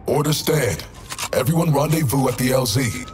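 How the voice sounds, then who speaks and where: An actor playing a stern, steady tactical operations leader, giving clipped, authoritative orders over a radio in a video game. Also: male voice, medium pitch, moderate pace, soft background music. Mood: firm, controlled. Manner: clipped, authoritative military delivery. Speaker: mission commander. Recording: noisy military radio with vehicle ambience.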